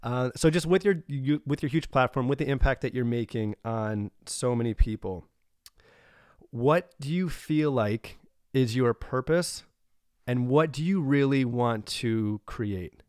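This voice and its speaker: A man hosts a podcast in a smooth, crisp voice recorded close and dry. He talks in an upbeat, affirming way, leaning on casual fillers. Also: mid-range voice, unhurried, a little mellow, dry recording. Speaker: podcast host. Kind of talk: casual interview chat.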